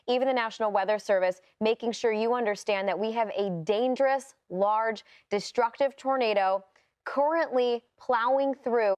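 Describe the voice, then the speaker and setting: A woman's voice: on the high side, normal speed, a little mellow, clean tone, no room sound, lo-fi sound. A woman giving live severe weather commentary as a TV broadcaster, emphatic, urgent and unscripted.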